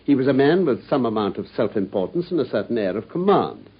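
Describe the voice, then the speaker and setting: An actor playing a brilliant, self-assured detective in a radio play, precise and lightly condescending, on a dull lo-fi broadcast recording. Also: male voice, medium pitch, big pitch swings, quick pace, breathy edge. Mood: deducing. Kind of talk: 1950s-style BBC radio drama acting.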